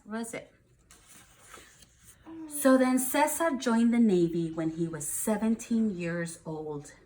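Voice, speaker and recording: An American woman, a first grade teacher, reads aloud to young children and explains things simply, checking in with questions. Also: mid-range voice.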